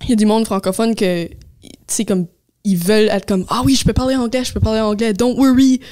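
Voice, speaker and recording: A woman's voice: fairly high pitch, fairly even intonation, a little fast, darkish tone. A young woman from Moncton with a Chiac Acadian accent, chatting casually as a podcast co-host and slipping English words into her French. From Moncton, New Brunswick. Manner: mixes English words into French, many fillers. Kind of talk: casual chat.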